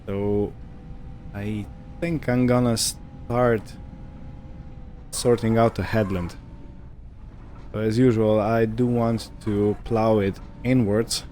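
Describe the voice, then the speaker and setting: Guy doing chill game commentary, really slow talker, kinda low voice, musing and hesitant, faint engine hum behind. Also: fairly even intonation, mellow. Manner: hesitant, musing, thinking aloud. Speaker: gaming video host. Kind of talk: casual roleplay gameplay commentary.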